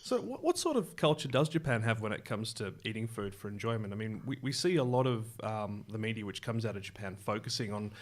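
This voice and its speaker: Lively man hosting a podcast. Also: on the low side, a little fast, hardly any pauses. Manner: friendly, leading the conversation. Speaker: podcast host. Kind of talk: casual podcast chat.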